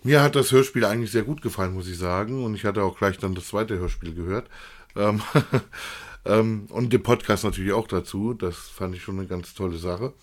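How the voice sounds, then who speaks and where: A man's voice: lowish voice, normal speed. A man hosting an unscripted, casual review chat, feeling his way through sentences with some repetition. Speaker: podcast co-host reviewing audio dramas.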